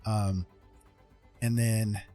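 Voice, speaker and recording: A man hosting a livestream in casual chat, talking in a rambling, halting way and often saying "you know". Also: on the low side, flat delivery, warm tone, faint music behind. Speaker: livestream host.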